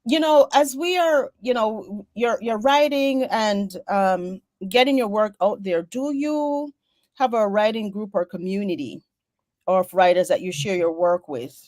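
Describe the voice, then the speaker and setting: A woman's voice: medium pitch, a little slow, darkish tone, no echo. A woman from Jamaica with a Jamaican accent, hosting a casual interview over a video call from her desk and often chiming in with short agreements. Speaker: host. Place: home office at a desk.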